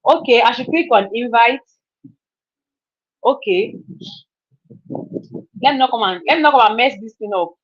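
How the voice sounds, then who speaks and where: Brief: woman, 30s, chatty live stream host, higher voice, dull lo-fi audio. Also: lively intonation, moderate pace, pauses often. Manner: chatty, repeats herself, laughs often. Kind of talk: casual live stream chat.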